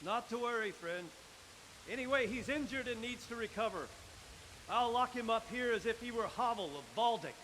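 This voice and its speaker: A man's voice: very high voice, animated, normal speed, fairly bright tone, a little hiss or hum. A man playing a wry, hospitable old castle lord in a medieval video game, calling out across a distance. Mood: reassuring. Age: older. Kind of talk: dramatic video game acting.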